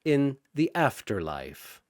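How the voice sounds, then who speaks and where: A man's voice: medium pitch, sing-song, slow, a little mellow, no echo, studio quality. A man voicing an icy, scornful woman fighter in an anime-style story, muttering with disdain. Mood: disdainful.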